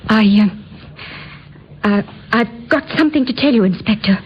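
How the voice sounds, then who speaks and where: An actress playing a nervous, desperate young woman in an old radio drama, with a husky voice and stammering, earnest delivery. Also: on the high side, normal speed, a little hiss or hum, lightly acted. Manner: stammering, breathless hesitations, earnest. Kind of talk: old time radio acting. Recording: muffled vintage radio recording.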